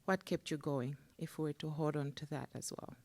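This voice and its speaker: Low-voiced woman from Malawi. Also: steady tone, a little fast, noisy background. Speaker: audience member asking a question. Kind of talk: audience question at a panel.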